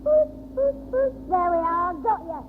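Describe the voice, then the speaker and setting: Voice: extremely high pitch, lively intonation, a little slow. An actor playing a cheeky, impatient, scheming schoolboy with a Northern English accent and sarcastic wisecracks in a 1960s British radio sitcom. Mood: coaxing. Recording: noisy, tinny 1960s radio recording.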